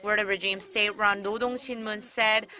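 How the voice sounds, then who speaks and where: A woman reporter reading a scripted news voice-over in a measured, formal way. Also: medium pitch, steady tone, bright, forceful tone.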